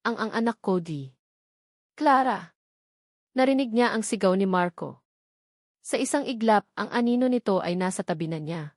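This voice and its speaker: A woman's voice: mid-range voice, a little mellow, no room sound, studio quality. A woman storyteller reading a scripted dramatic story as narration.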